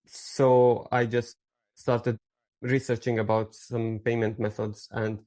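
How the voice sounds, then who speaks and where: A man from Syria in his thirties, with a mid-pitched voice, speaks as a podcast guest. He rambles through his story, stopping and restarting often and leaning on fillers. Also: level tone, slow, dark tone. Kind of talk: casual interview storytelling.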